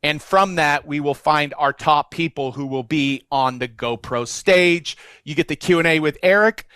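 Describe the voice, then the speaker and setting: A man's voice: on the high side, normal speed, bright, forceful tone, smooth, no room sound. Upbeat salesy webinar host man. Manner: upbeat, salesy, runs through offer details. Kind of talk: sales pitch.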